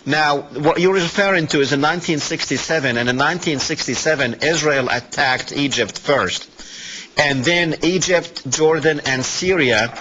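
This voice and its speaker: A man's voice: a little high, normal speed, bright, forceful tone, a little hiss or hum. A man hosting a live call-in discussion, explaining points and challenging with questions. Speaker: host of a call-in talk show. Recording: slightly muffled broadcast audio.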